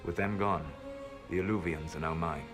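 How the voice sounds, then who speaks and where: A man acting in a video game as an ancient elven mage, calm, resolute and sorrowful, speaking in a measured, formal way. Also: low voice, music in the background, close to an everyday voice. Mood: cool, matter-of-fact.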